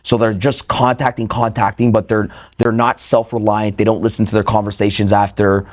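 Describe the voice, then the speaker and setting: A man's voice: on the low side, little pitch movement. A young man from Canada, a sales trainer talking casually on a podcast, punchy and profane, tagging "right?" onto his points. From Toronto, Canada. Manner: fast, punchy, swears casually, frequent "right?".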